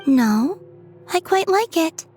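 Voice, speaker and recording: A woman playing a serene, innocent and slightly odd young maiden in a game, gentle and matter-of-fact. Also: very high-pitched, sing-song, slow, soft background music, heavily acted. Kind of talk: game character acting.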